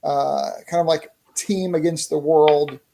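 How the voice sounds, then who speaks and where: Higher-voiced, mellow man chatting as podcast guest. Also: steady tone, measured pace, gravelly. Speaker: interview guest, indie comic creator promoting a fighting game. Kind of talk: casual podcast chat.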